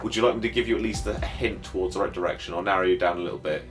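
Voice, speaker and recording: A man's voice: sits low, rapid-fire, over music. A man with a British accent in casual, matey trivia banter as a podcast co-host. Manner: animated, matey.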